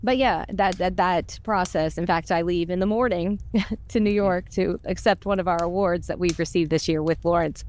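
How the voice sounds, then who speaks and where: Middle-aged woman, slightly low voice, exuberant casual storyteller, some noise behind her. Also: moderate pace, a little mellow. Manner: exuberant, chatty, self-deprecating. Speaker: audio drama voice actor and podcast cast member.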